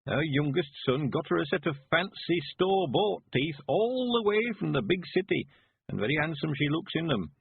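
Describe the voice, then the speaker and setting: A man voicing a cheerful, frail 91-year-old man, quavering and slow, rustic and stubborn. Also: a little high, gravelly, husky, pitched above the performer's own voice, exaggerated voice. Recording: phone-like lo-fi sound.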